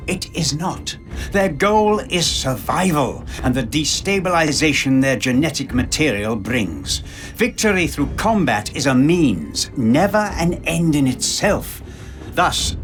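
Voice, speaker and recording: Music plays behind a grave, knowing chronicler of a far-future war. His voice sits slightly high for a man, and he delivers the scripted game narration in a measured, portentous way. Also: a bit bright.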